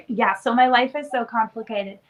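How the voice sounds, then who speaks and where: A woman's voice: on the high side, steady tone, unhurried, fairly bright tone. A woman in her twenties, sniffly from a cold, chatting casually as a podcast guest with lots of "like" fillers. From born in Romania, raised in Canada. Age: 20s. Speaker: interview guest.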